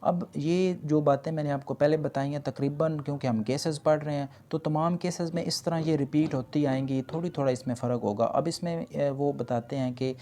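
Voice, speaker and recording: A man's voice: a little high, fairly even intonation, normal speed, hardly any pauses, dark tone. A man with a Pakistani accent lecturing didactically, reading out points and then explaining them with repetition, switching between two languages. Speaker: law lecturer.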